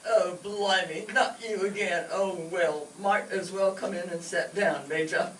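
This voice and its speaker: Echoey, slow, weary grumbling butler, acted by a man. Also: sits high, steady tone, fairly bright tone. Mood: grumbling, straining. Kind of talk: amateur stage radio comedy acting. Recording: echoey, distant, noisy stage recording.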